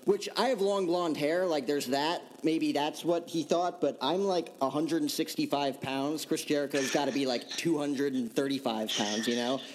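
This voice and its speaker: A man's voice: a little high, normal speed, few pauses, slight background noise. A man hosting a podcast, chatting informally and slangily through questions and anecdotes. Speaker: podcast host, interviewer. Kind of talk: casual chat.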